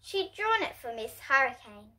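A young girl of about ten to twelve reading a children's storybook aloud in an expressive way. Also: high-pitched, moderate pace, poor audio. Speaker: host reading picture books for kids. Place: indoor room in front of bookshelves.

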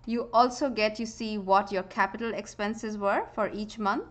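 A woman giving a screen-recorded spreadsheet tutorial, explaining step by step in a calm voice with frequent "uh" fillers. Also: mid-range voice, moderate pace. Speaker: software tutorial presenter.

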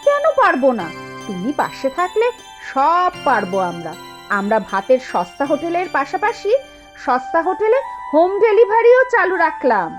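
Woman as very high-voiced supportive village wife. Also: sing-song, normal speed, over music. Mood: confident. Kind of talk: Bengali cartoon dubbing.

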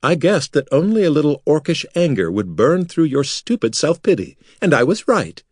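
A man voicing a smug, sharp-tongued female wizard who explains things in a dry, lecturing way. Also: fairly high pitch, sing-song, normal speed, husky, dry recording, pitched above the performer's own voice. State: rasping after being choked.

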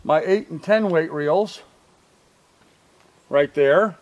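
Older man, high voice, rambling gear talk. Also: slow, highs cut off. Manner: rambling, many ums and uhs, self-correcting. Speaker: vlogger showing fishing gear. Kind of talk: casual show-and-tell. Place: outdoors by a truck in a field. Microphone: camera mic from a few feet.

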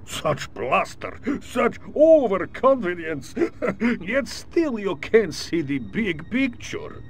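An actor playing a scheming alien villain posing as a general, speaking with a gloating, theatrical manner in a video game cutscene. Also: male voice, sits high, big pitch swings, takes it slowly, slight background noise, full character voice.

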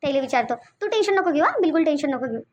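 A woman's voice: high-pitched, animated, very fast, highs cut off, faint music behind. A woman voicing a protective, reassuring elder sister-in-law in a Marathi cartoon, comforting repeatedly in Vidarbha dialect. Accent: Vidarbha (Varhadi) Marathi. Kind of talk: Marathi cartoon story dialogue.

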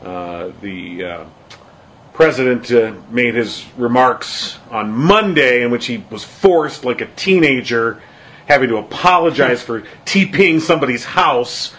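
A man's voice: medium pitch, lively intonation, a little slow, fairly bright tone. A man co-hosting a casual political podcast, talking with many fillers and hesitations. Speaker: podcast co-host.

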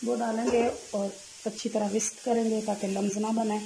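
A woman, hosting a cooking channel and narrating a recipe step by step, often filling pauses with "jo hai vo". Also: on the high side, flat delivery, a little hiss or hum, low-quality recording. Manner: leans on the filler "jo hai vo", gentle instructive tone.

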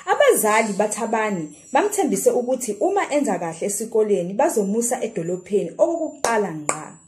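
A middle-aged South African Zulu woman tells a children's story in a medium-pitched voice. She reads expressively and speaks directly to small children, recorded on a phone in a room at home. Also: a little mellow, a little hiss or hum. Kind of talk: expressive read-aloud storytelling for young children.

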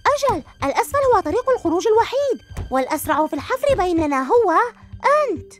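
Woman voicing a cheerful quick-talking hero boy. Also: very high voice, faint music behind. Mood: encouraging. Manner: lively, encouraging. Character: cheerful young superhero boy, supportive teammate. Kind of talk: cartoon dubbing.

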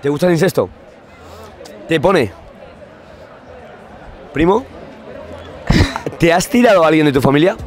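A man's voice: fairly high pitch, animated, a little slow, long pauses, slight background noise. A young man with a Spanish accent from Spain, asking blunt, teasing questions into a handheld mic in an outdoor park at night. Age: young adult. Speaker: street interviewer. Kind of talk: provocative street interview. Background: festival music and crowd.

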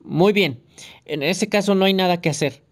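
A reader voicing a fearful, hesitant police officer on the phone in an audiobook. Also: male voice, high voice, normal speed, natural voice. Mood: nervous. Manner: hesitant, muttering.

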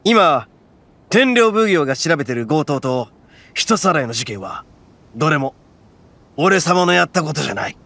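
Cocky rowdy oni boss, highish voice swinging all over, rough talk, game music. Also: male voice, big pitch swings, moderate pace, pauses often, sharp tone, exaggerated voice. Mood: serious. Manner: swaggering, rough-talking. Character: loud brash young oni gang boss, boastful but big-hearted. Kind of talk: game voice acting.

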